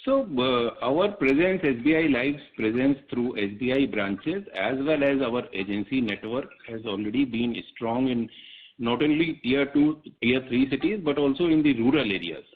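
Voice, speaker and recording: Male company executive, Indian accent, medium pitch, measured halting answers, thin telephone audio. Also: animated. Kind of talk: spontaneous answers on an earnings call. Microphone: telephone conference call. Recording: muffled narrowband phone line.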